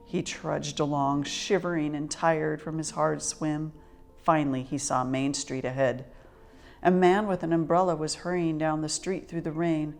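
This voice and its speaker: A woman reading a children's picture book aloud as an expressive, dramatic storyteller. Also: low voice, measured pace, faint music behind. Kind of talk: expressive scripted story narration.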